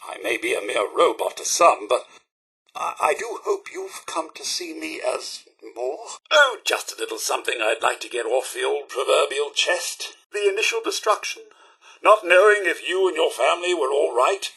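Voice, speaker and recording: A male actor playing a loyal, fussily polite robot butler in a video game, with a British accent and a formal, effusive, theatrical manner in a bright, raspy voice. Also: a little high, very animated, normal speed, heavily acted, poor audio. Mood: sentimental, bashful, then emotional, relieved. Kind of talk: video game companion dialogue.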